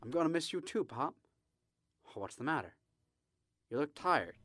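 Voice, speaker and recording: An actor playing an eager, restless young small-town man in a radio drama, earnest and halting. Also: on the high side, big pitch swings, sharp tone, no room sound, studio quality, full character voice. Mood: concerned. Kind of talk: old-time radio drama acting.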